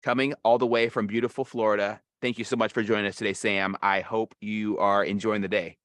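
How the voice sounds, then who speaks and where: A man's voice: on the low side, level tone, fairly quick, a bit bright, dry recording. Upbeat male podcast host, dry sound. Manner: upbeat, emphatic presenter delivery. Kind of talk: podcast intro and ad read, then interview.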